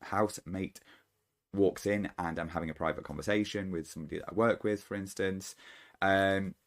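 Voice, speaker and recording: A man hosting his own channel, giving an unscripted solo talk to camera in a thoughtful, halting way, often restarting his sentences. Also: low-pitched, steady tone. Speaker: channel host.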